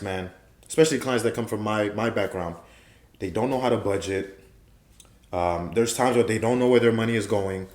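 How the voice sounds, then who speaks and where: A young man from Haiti, a guest on a podcast, talking in a relaxed, affirming way and repeating his key phrases. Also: medium pitch. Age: young adult. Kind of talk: casual interview chat. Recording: roomy echo.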